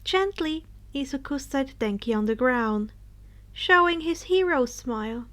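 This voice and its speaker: High-pitched woman narrating slowly and expressively. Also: expressive, fairly bright tone. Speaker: fan fiction narrator. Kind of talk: dramatic storybook narration.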